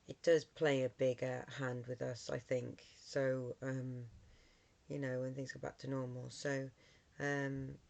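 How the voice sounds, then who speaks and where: Hoarse, gravelly-voiced middle-aged vlogger. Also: mid-range voice, level tone, a little slow, a little mellow. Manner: rambling, many ums and restarts. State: hoarse from a cold. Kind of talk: casual talk to camera.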